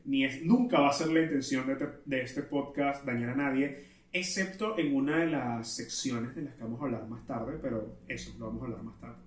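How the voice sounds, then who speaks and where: A man with a Venezuelan Spanish accent hosting a solo podcast, chatting casually in a self-aware, digressive way. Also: medium pitch. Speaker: podcast host. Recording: roomy echo, distant from mic.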